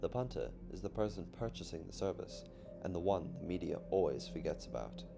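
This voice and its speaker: Male narrator, low and gravelly, measured scripted read, music bed. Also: expressive. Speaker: documentary narrator. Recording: music behind the voice.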